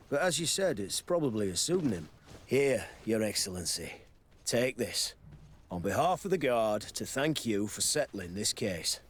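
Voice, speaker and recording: A man's voice: medium pitch, slight background noise, close to an everyday voice. A man acting as a courteous, dutiful city guard commander in a video game, with a formal, official manner.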